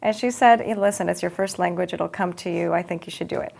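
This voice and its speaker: Chatty woman with a gravelly edge. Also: lowish voice, fast talker, a little mellow. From Los Angeles. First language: Farsi. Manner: upbeat, chatty, frequent ums. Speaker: TV news anchor, guest. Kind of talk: interview answers.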